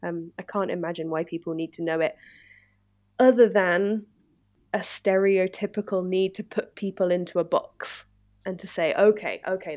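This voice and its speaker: A young British woman hosting a podcast, talking in a thoughtful, reflective way. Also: fairly low pitch. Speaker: podcast host. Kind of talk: casual discussion.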